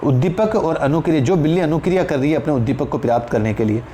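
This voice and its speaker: A man's voice: on the high side, quick pace, warm tone. A man with an Indian accent teaching in an explanatory lecture style, repeating phrases for emphasis and addressing listeners as friends. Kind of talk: exam-prep lecture, explanatory. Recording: clear background noise.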